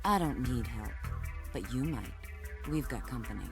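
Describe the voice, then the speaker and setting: A woman's voice: very deep voice, expressive, moderate pace. Tough curt female guard soldier. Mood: hostile. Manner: curt, commanding. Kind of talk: video game cutscene acting. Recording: loud music over the dialogue.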